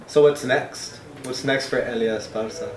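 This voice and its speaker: A man's voice: medium pitch, steady tone, moderate pace, slight background noise. Man, interview host, rambling questions, encouraging, distant from mic. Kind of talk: casual interview chat. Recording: echoey room, off-mic.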